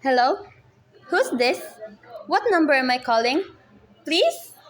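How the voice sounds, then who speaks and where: A woman's voice: high-pitched, very animated, takes it slowly, a bit bright, exaggerated voice. A woman playing an anxious, demanding invalid wife in a radio play, fretful and insistent. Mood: alarmed.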